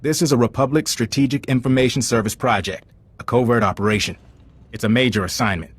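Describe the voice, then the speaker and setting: A man's voice: medium pitch, fast, natural voice. Man playing frank, wry covert agent. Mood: earnest, wry. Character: frank male covert intelligence agent briefing a recruit. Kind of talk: video game dialogue.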